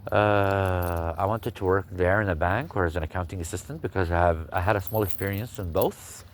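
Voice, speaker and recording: Low-voiced man. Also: a little hiss or hum. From Kuwait. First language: Arabic. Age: 40s. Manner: halting, with frequent pauses. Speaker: speaker telling his life story. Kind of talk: personal testimony monologue.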